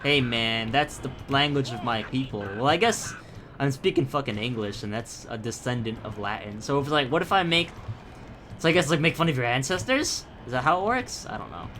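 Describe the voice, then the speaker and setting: Young male let's-player, mid pitch, bright, chatty, swears often and jumps between tangents. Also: lively intonation, fairly quick, a little hiss or hum. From Philippines. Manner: rambling, profane, self-mocking tangents. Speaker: gaming YouTuber. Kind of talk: casual gameplay commentary.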